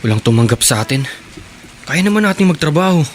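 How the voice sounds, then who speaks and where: A man playing a stubborn, lovestruck teenage son who lives rough, in a radio drama. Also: a little high, very animated, fast, over music. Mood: resigned. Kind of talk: Tagalog radio drama acting.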